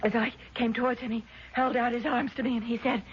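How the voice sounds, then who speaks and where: An actress playing a distraught, terrified young woman in a 1970s radio drama, halting, repeating herself and pleading. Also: fairly high pitch, little pitch movement, close to an everyday voice. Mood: shaken, insistent. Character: distraught, terrified young woman convinced she saw her dead brother. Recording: dull, noisy vintage radio recording.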